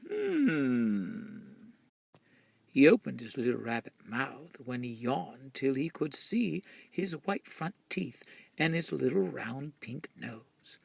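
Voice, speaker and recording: A man tells a children's story in a very breathy, gravelly voice that often nears a whisper. His delivery is slow and drawn-out, with dramatic expression. The sound is dry and close. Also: mid-range voice, sing-song, no room sound. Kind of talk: expressive picture-book storytelling.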